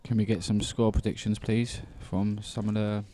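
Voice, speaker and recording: A man's voice: lowish voice, flat delivery, moderate pace, mellow, faint music behind. A man, co-commentating pool in casual, informal chat and talking through shots. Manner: casual, informal, laughs easily. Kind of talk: live stream commentary chat.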